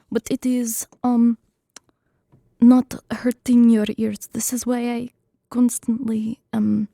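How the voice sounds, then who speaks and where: Slow, soft-whispering ASMR streamer woman. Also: fairly high pitch, creaky, no room sound, studio quality. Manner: playful, jokey broken English in a put-on Russian accent. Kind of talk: whispered script reading and chat. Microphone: streaming microphone.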